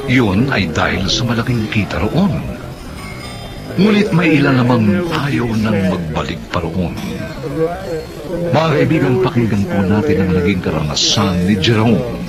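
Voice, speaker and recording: A man's voice: lowish voice, very animated, a little slow, over loud music. A man hosting and narrating a radio drama with a scripted introduction.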